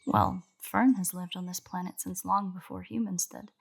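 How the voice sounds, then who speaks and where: Woman playing a breathy, nerdy fantasy royal botanist. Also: fairly low pitch, steady tone, normal speed. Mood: fascinated, explaining. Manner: precise, formal, lecturing. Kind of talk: roleplay audio drama, scripted monologue to the listener. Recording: birdsong behind the voice. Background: birdsong, garden ambience.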